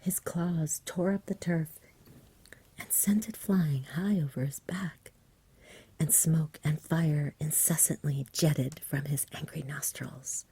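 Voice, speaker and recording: A woman reading a story in a hushed, soothing ASMR whisper, close to the microphone. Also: deep voice, slow pace. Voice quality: breathy whisper. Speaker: ASMR reader.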